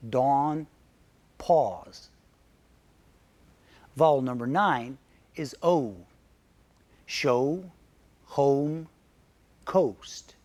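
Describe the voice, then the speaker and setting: A man from Michigan with a Midwestern American accent, narrating a scripted pronunciation lesson and saying example words slowly and clearly. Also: mid-range voice, darkish tone. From Michigan, United States. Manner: slow, careful, clearly enunciated word examples. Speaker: narrator and English pronunciation teacher.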